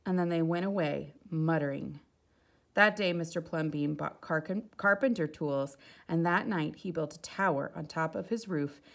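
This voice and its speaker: A woman reads a picture book aloud for children in a low voice with noticeable creak. Her delivery is expressive, and she shifts between storybook narration and casual remarks on the pictures. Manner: expressive, exclaiming softly at the pictures.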